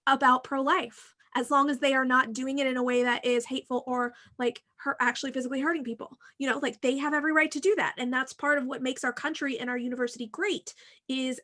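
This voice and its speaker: A woman's voice: sits high, pushed and bright. An American woman hosting a casual talk, explaining her opinions frankly and emphatically in a discursive way. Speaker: podcast host and university teacher.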